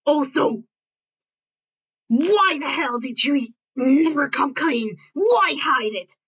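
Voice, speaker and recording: A woman voicing a brash, sharp-tongued teenage boy hero student, blunt, barking and seething. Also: sits high, expressive, slow, dull audio, full character voice.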